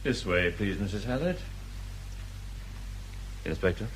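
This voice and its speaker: A man's voice: lowish voice, big pitch swings, unhurried, slight background noise, low-quality recording. A man playing a concerned but firm doctor in a radio crime drama.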